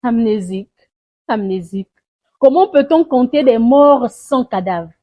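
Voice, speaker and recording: Slow, husky woman reciting poetry. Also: a little high, animated, phone-quality sound. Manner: solemn, mournful, deliberate phrasing with swells and hushes. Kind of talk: dramatic spoken-word poetry recitation.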